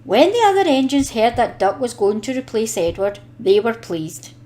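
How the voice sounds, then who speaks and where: Animated high-voiced woman reading a children's story. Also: normal speed. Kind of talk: expressive scripted storybook narration.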